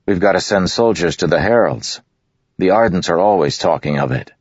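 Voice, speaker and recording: A man reading aloud, voicing a big, stubborn, boastful boy who talks loudly in rough dialect. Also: sits low, expressive, normal speed, dry recording, pitched above the performer's own voice. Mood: insistent. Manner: loud, insistent, dialect-speaking. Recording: dull lo-fi recording.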